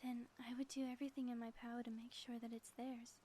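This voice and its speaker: A woman's voice: fairly high pitch, little pitch movement, fast talker, warm tone, slight background noise, lightly acted. A woman playing a theatrical, lovestruck ex-supervillain in an audio roleplay, speaking in formal, grandiose phrases. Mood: tender, earnest.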